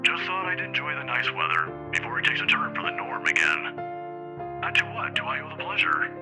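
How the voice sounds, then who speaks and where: A man's voice: mid-range voice, very animated, a little fast, natural voice. An actor playing a mild-mannered older male professor in a visual novel game, fatherly and observant. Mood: cheerful. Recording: music behind the voice.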